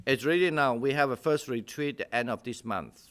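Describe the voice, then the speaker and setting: A man's voice: medium pitch, moderate pace, a bit bright, clean tone. A jovial man with a mixed, non-native English accent, chatting casually as he hosts an online discussion as a monk. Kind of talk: casual chat. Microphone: video call.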